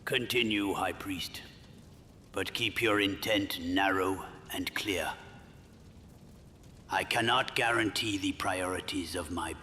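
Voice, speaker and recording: Male actor as commanding royal, low voice, forceful edge, deliberate, formal, long hall reverb, fantasy audio drama. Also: a little hiss or hum, full character voice. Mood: cool caution. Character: commanding, guarded royal with cautious authority.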